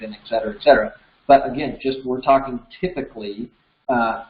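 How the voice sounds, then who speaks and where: An American man in his thirties giving an informal, conversational lesson, explaining things step by step. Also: medium pitch. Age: 30s. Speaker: instructor. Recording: roomy echo, slightly dull sound.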